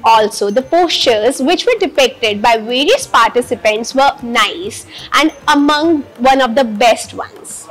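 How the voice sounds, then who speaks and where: A woman's voice: high voice, a bit bright, poor audio. A woman in her twenties with an Indian accent, giving a formal testimonial in flowery wording. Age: 20s. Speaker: yoga championship participant, medical student. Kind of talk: on-camera testimonial. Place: bright indoor room by a large window. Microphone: clip-on lapel mic.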